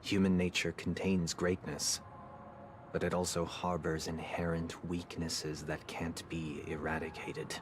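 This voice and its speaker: An actor playing a solemn, disillusioned man in a video game, speaking in measured, formal, philosophical oratory. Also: low voice, level tone, background noise. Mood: grave, resolute. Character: solemn, disillusioned adult brother, an eloquent idealist turned cynic. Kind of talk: scripted video game drama. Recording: loud music behind the voice. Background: music.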